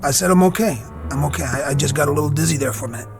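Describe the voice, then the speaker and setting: Radio drama lieutenant: tired soldier, haunted, reassuring but halting, over clear underscore. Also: male voice, fairly high pitch. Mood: shaken, insistent. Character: weary, haunted army lieutenant at a wartime field hospital. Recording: dramatic music behind the voice.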